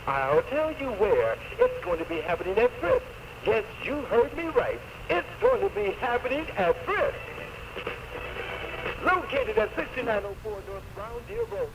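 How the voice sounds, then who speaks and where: An excitable male station announcer pitches promos in a high, wildly swooping voice. His delivery is emphatic seventies radio patter. It sounds muffled, like an old AM tape. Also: measured pace. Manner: exuberant, emphatic. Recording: dull noisy 1970s AM radio cassette.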